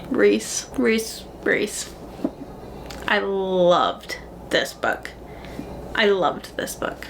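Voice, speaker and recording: A woman's voice: mid-range voice, extremely slow, gravelly, long pauses. Chatty young woman with audible background noise. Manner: animated, gushing, dramatic emphasis. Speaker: book reviewer and vlogger. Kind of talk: casual chatty book recommendation vlog.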